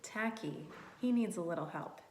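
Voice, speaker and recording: A woman doing a read-aloud storytime for young children in simple, encouraging language, animated and often asking questions. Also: on the low side, a little hiss or hum. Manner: animated, asks children questions and pauses for answers. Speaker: early childhood teacher reading aloud to children. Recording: roomy, echoey room sound.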